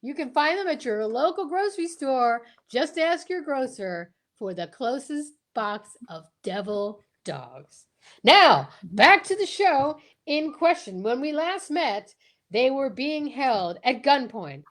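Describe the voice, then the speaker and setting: A woman with a high voice announces a homemade radio serial. She narrates and handles the breaks in a playful style. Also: very animated, slow, fairly bright tone.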